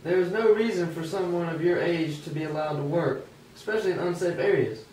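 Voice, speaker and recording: A man's voice: fairly high pitch, fairly quick, darkish tone, natural voice. A man playing a disgruntled old-time American working man in an amateur puppet show. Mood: earnest. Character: disgruntled 19th-century American working man, sceptical of politics. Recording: echoey room, distant mic, faint noise.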